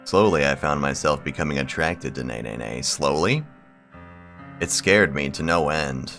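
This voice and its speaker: A man's voice: a bass voice, expressive, moderate pace, a bit bright, soft background music. A man hosting a game video, reading a visual novel aloud and adding slangy, profane bro-style commentary. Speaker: gaming video host.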